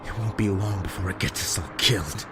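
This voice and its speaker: A man playing a guilt-ridden, self-loathing young survivor in an emotional audio drama, with a halting, bitter delivery. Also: lowish voice, natural voice. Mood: anguished, bitter. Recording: prominent music behind the voice.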